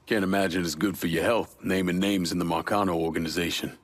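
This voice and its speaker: A tough, terse crime game antihero with a deep voice. The sound is dry and close, and he is blunt and dismissive. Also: fairly even intonation, fast talker, no room sound. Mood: gruff, terse. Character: gruff, tough, terse man working against the mob. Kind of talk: video game cutscene acting.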